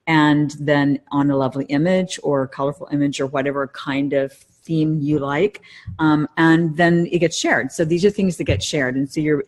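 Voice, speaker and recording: A woman's voice: deep voice, a little mellow. A middle-aged woman co-hosting a podcast, giving casual conversational advice in a reflective, explanatory way. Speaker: podcast co-host.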